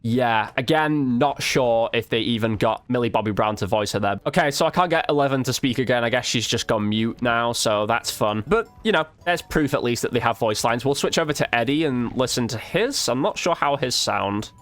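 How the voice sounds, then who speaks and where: A man's voice: mid-range voice, lively intonation, a little fast, few pauses, fairly bright tone, faint music behind. Casual man doing gameplay commentary. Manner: informal, slangy, thinking aloud. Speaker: gaming video creator.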